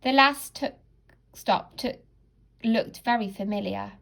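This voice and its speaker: A woman narrating a children's storybook like a teacher, in a dramatic, deliberate and expressive way. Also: a little high, gravelly.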